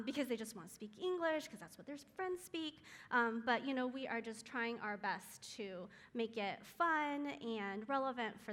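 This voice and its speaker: A woman's voice: on the high side, a bit bright, long reverb tail. A woman whose first language is Chinese, talking casually to a church group in an earnest, hesitant way with many ums. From Taiwan or China, raised in Seattle area. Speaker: guest speaker at a church moms group. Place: church meeting room.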